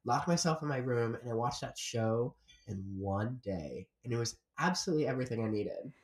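A man's voice: medium pitch, animated, a little fast. Rambly young man in an echoey room. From Florida, US. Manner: rambling, swearing, lots of "like" fillers. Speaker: guest comedian. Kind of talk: casual comedic chat. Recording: roomy echo.

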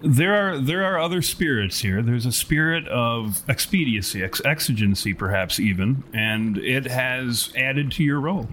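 A man narrating as a tabletop game master, with erudite, wordy and deliberate phrasing. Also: mid-range voice, animated, fairly bright tone, a little hiss or hum.

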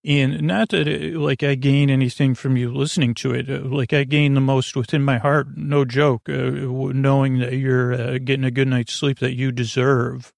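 A man with a lot of fry in his mid-pitched voice hosts a sleep podcast. He wanders from tangent to tangent, halting, restarting and interrupting himself. The sound is dry, with no room around it. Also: little pitch movement, dry recording. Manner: meandering, halting, stammering, self-interrupting tangents. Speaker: podcast host. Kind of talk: rambling sleep podcast monologue.

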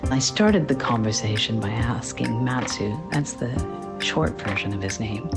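Woman, podcast host, very low voice, scripted intro, clear measured read. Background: music.

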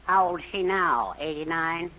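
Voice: medium pitch, unhurried, sharp tone. An actor playing a meek, henpecked husband, hesitant and mildly protesting, in a 1940s radio comedy. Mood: deadpan. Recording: muffled, hissy 1940s radio recording.

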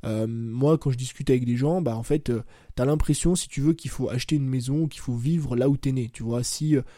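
A man's voice: medium pitch, a little fast, dark tone, dry recording, studio quality. A man in his twenties hosting a casual solo podcast, talking loosely with lots of fillers and self-corrections. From France. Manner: chatty, loose and informal, says "tu vois" and "bah" constantly, self-corrects. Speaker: podcast host. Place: at home.

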